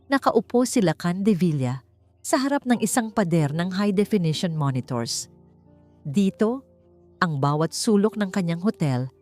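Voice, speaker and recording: A woman with a Filipino accent narrating a scripted story in a measured, dramatic way. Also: mid-range voice, a little mellow. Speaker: audiobook narrator. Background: background music.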